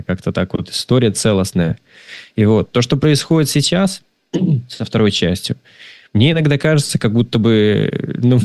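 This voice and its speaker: A man giving a casual film review in conversation, speaking in a halting, rambling way and often repeating words. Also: fairly low pitch, a little mellow, dry recording, studio quality. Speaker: film discussion participant.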